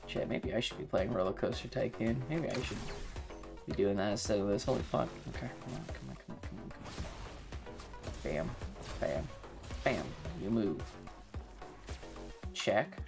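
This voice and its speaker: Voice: low-pitched, expressive, a little slow, vocal fry, pauses often. A streamer with an androgynous voice talks unhurriedly while playing a game live. The delivery is casual and sweary, with self-mocking slang. Faint music plays behind the voice.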